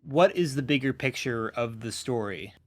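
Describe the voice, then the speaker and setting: A man co-hosting a podcast in casual conversation, feeling his way through points with halting, rephrased sentences. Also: mid-range voice, lively intonation. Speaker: podcast co-host. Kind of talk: casual conversational discussion of storytelling.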